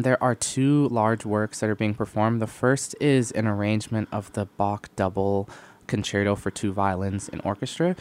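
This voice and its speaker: A young American man, a violinist guest on a radio interview, relaxed, laughing often, with many "um"s. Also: fairly low pitch, clean tone. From United States (Arizona). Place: radio studio.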